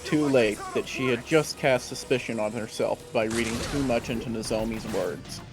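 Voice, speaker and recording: A man's voice: mid-range voice, moderate pace, over music. A man narrating a fan fiction story aloud in a live read-along.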